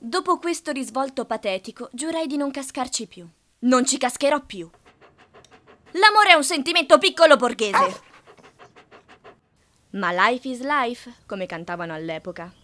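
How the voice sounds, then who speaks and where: A woman in her early twenties dubbing a passionate, sharp-tongued young woman in an animated film, with an emphatic, theatrical delivery. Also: sits high, normal speed, pauses often, slight background noise. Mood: wistful narration. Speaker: fan dub voice actress.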